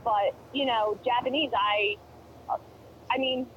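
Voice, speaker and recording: A woman's voice: a little high, fairly even intonation, slow, highs cut off, noisy background. Opinionated woman in casual podcast chat. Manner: opinionated, rambling with many fillers. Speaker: podcast co-host.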